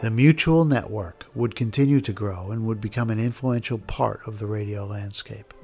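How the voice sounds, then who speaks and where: A man's voice: fairly low pitch, moderate pace, loud music behind the voice. An American man reading scripted documentary narration.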